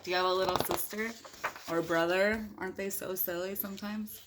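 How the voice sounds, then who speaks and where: A woman's voice: medium pitch, fairly even intonation, fairly quick, background noise. A woman reading a picture book aloud in a playful, chatty way, with casual asides to young children. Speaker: storybook reader.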